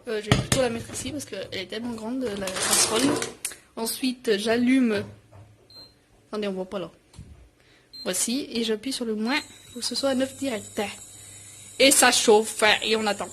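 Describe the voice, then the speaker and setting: A woman chatting informally in a slangy, scattered way for a home cooking vlog, often interrupting herself. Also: on the high side. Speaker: vlogger. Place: home kitchen in an apartment. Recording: noisy home recording, clattering pots and dishes.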